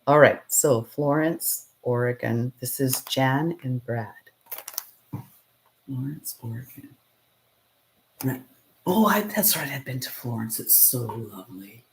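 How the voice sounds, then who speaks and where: Low, gravelly-voiced woman chatting live. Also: takes it slowly, pauses often, slight background noise, rough recording. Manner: relaxed, laughs often, thinks aloud while working. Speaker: potter and channel host. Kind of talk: casual live-stream chat.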